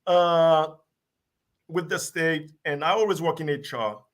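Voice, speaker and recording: A man in his sixties from Haiti, a guest telling his story in a relaxed, laughing way. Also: a little high. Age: 60s. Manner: warm, laughing, unhurried storytelling. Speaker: guest actor. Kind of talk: casual interview chat.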